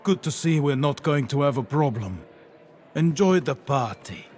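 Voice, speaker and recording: An actor playing a smooth, arrogant, wealthy arms-dealer villain in a video game, suave and condescending. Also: male voice, fairly high pitch, lively intonation, moderate pace, a little mellow, soft background music, natural voice. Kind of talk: video game dialogue acting.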